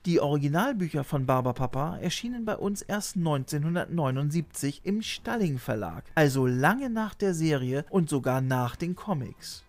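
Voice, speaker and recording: A man's voice: a little high, expressive, normal speed, hardly any pauses. A middle-aged man hosting and narrating a scripted video essay, recorded indoors on a desk microphone. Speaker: host and narrator. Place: small room lined with shelves, indoors. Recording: music behind the voice.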